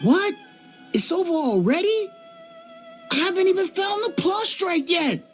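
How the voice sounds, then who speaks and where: A woman's voice: very high-pitched, sing-song, a little slow, fairly bright tone, soft background music, heavily acted. A woman playing a flustered, indignant young contestant in an English game dub, whining and exclamatory. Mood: dismayed.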